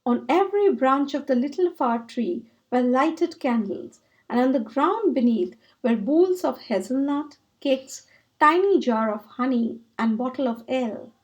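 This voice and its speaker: A woman reads a children's story aloud. Her voice is high, with lively, sweeping intonation suited to a picture book. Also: measured pace.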